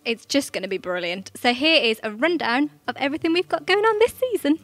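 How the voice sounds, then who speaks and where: A woman's voice: high-pitched, animated, brisk, fairly bright tone. A young woman presenting church news in a cheerful, chatty way, speaking into a handheld mic in a studio. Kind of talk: scripted church announcements. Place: studio in front of a green screen.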